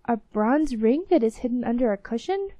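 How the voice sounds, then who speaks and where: A scornful young servant girl, quick to mock, as a woman reads her. The voice is mellow and dry, lifted higher. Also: lively intonation, dry recording. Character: scornful young female slave.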